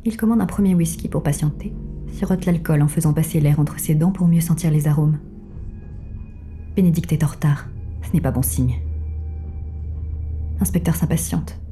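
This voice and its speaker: A woman's voice: sits low, normal speed, pauses often, mellow, soft background music, close to an everyday voice. An actress playing an inspector's watchful, devoted female assistant, narrating a noir audio drama with solemn, introspective restraint. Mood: watchful, bleak.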